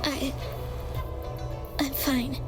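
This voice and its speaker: A woman playing a devoted, gentle young pupil in a video game, speaking in an earnest, hesitant way. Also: high voice, level tone, extremely slow, over loud music. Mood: confused, hesitant.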